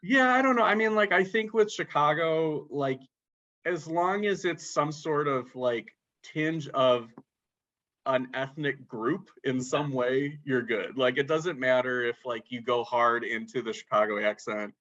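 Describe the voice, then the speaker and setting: A man co-hosting a casual movie podcast, talking in an animated way and peppering his speech with "like". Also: mid-range voice, a little slow, lo-fi sound. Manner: animated, filler-heavy talk with lots of "like". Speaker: podcast co-host.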